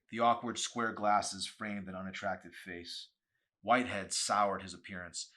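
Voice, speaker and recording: A man's voice: low-pitched, pushed and bright. A man reading a novel aloud as a dramatic audiobook, with an intense, theatrical delivery.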